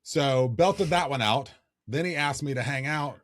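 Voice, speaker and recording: A man with a slightly raspy voice talks in loose, animated podcast chat. He has a middle-pitched voice and tells stories with a joking lilt. The sound is close and dry. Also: unhurried, no echo. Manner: animated storyteller, jokey. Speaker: podcast co-host. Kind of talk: casual chat.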